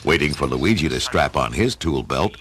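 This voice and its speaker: A man giving dramatic, measured scripted narration for an audio story, in a theatrical storytelling manner. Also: rumbling low, expressive, a bit bright, a little hiss or hum. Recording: music under the narration. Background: music.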